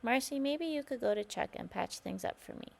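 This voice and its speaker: A woman's voice: on the high side, expressive, fairly quick, vocal fry, heavily acted. A woman voicing a bossy, blunt, grumbling young girl in a children's storybook. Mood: pleading. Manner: blunt, indignant, demanding. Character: bossy, grumbling young girl who snaps at her friends.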